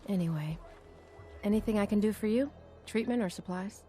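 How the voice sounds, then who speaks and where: An actress playing a nervous, deferential doctor among raiders in a video game, speaking hesitantly. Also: female voice, mid-range voice, warm tone, faint music behind, close to an everyday voice. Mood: matter-of-fact. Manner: hesitant, halting. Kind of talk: video game character dialogue.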